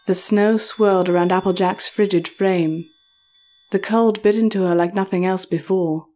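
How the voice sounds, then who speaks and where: Female narrator for horror audio story, mellow, dark-toned delivery, mid pitch, light music bed. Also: fairly even intonation, moderate pace. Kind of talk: scripted horror fan fiction narration.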